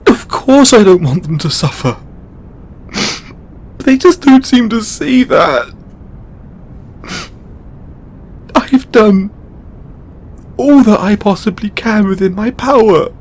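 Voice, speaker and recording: A man playing a kind, overburdened god in an intimate audio roleplay, speaking haltingly with earnest, pleading emotion. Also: high-pitched, animated, a little mellow, heavily acted. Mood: anguished, overwhelmed. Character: kind, overburdened male god, anguished and benevolent.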